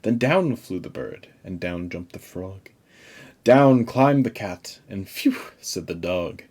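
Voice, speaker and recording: Male children's story reader: medium pitch, animated range, slow gentle rhythm, slightly husky. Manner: gentle, measured, rhythmic rhyming delivery. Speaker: storybook narrator. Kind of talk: expressive children's story reading.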